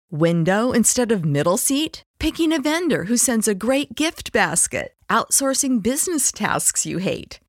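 Animated woman reading a commercial. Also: mid-range voice, dry recording, clean studio sound. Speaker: advertisement voice-over. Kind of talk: scripted commercial narration.

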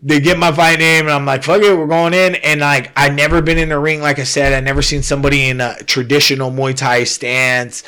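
A man's voice: on the high side, level tone, fairly quick, hardly any pauses, bright, forceful tone, smooth. A young man telling a story in casual, profane podcast chat, full of slang and fillers. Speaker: guest telling his fight story.

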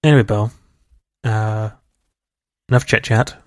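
A man's voice: fairly low pitch, steady tone, a little slow, no echo, clean studio sound. A man co-hosting a casual gaming podcast, chatty and rambling, laughing easily. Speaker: podcast co-host. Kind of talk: casual chat.

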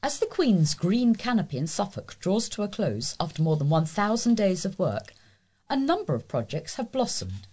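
A woman's voice: medium pitch, sing-song, moderate pace, darkish tone, slightly rough. A woman reading scripted local news stories as a volunteer newsreader.

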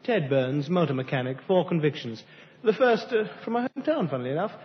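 An actor as a high-voiced police records clerk. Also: male voice, expressive, brisk, lightly acted. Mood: helpful, matter-of-fact. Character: chatty, helpful male police records clerk. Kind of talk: 1950s radio drama acting. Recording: muffled, reverberant old radio recording.

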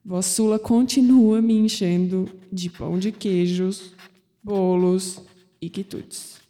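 A woman's voice: mid-range voice, steady tone, takes it slowly, mellow, big-room sound. A young woman narrating an audio drama in a wry, understated way as its protagonist. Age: young adult.

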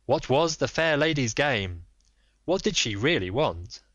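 A man voicing a reserved Victorian male detective who speaks in a measured, formal and guarded way. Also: medium pitch, big pitch swings, fairly bright tone, dry recording, pitched above the performer's own voice. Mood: amused. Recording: dull lo-fi dry recording.

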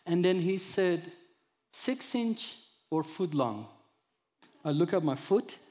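A man in his thirties from Iran, speaking English with an Iranian accent, giving an expressive sermon that mixes scripture with his own testimony. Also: high voice, slow, big-room sound. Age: 30s. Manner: expressive storyteller, acts out dialogue, audience laughter. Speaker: guest speaker.

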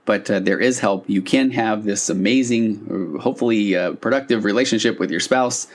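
A man's voice: on the low side, moderate pace. A man hosting a podcast, talking in a casual, explanatory, conversational monologue. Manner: conversational, acting out example dialogue lines. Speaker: podcast host.

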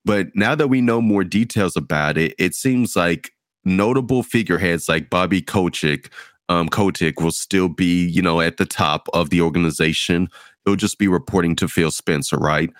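A man hosting a casual gaming discussion, talking in a measured way with plenty of fillers as he steers the topic. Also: low-pitched, no echo, clean studio sound. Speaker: host.

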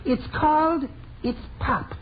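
An actor playing a meek, henpecked little husband with a mild, hesitant, prissy delivery in an old radio comedy. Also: male voice, very high-pitched, takes it slowly, phone-quality sound. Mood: mild, pleased. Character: meek, henpecked little married man who writes poems. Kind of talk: 1940s radio comedy acting. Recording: noisy old radio recording, loud studio audience.

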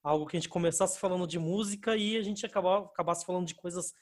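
A man's voice: high voice, fast. A man with a Brazilian accent hosting a podcast in a laid-back, slangy way, laughing easily. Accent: Brazilian Portuguese. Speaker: podcast host. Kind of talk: casual podcast chat.

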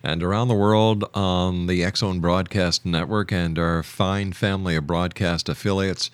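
A man's voice: deep voice, fairly even intonation, moderate pace, clean tone, dry recording. A man speaking as a radio show host, introducing and interviewing in a broadcast style.

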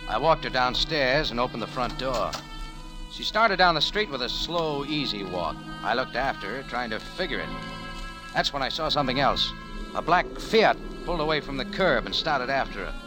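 An actor playing a tough, wisecracking cafe owner in a 1940s radio noir, with dry, hard-boiled delivery. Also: male voice, medium pitch, expressive, normal speed, music in the background, natural voice, poor audio. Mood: terse narrating. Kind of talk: 1940s radio acting, noir narration and dialogue. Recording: old radio drama recording.

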